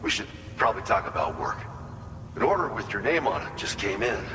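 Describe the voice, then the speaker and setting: A man's voice: lowish voice, lively intonation, fairly quick, sharp tone, roomy sound, big-room sound. An actor playing an earnest, wistful male colonist in a video game, talking in a sincere, conversational and folksy way. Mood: businesslike, friendly. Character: earnest, wistful male colonist grieving his lost brother. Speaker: game character briefing the player. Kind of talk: cinematic video game dialogue.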